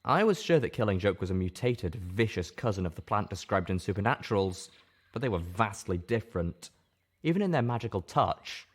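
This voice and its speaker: A man's voice: lowish voice, lively intonation, brisk. A man reading scripted first-person fiction aloud as an audiobook narrator.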